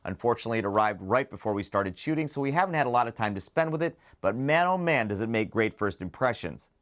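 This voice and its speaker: A man's voice: mid-range voice, expressive, fast, a bit bright. A man hosting a product preview, speaking to viewers in a detailed, enthusiastic, semi-scripted way. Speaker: presenter of an audio gear preview show.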